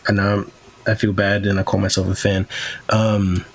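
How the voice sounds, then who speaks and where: Halting, low-voiced man talking pop culture. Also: little pitch movement, poor audio. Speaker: podcast co-host. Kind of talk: casual pop-culture discussion.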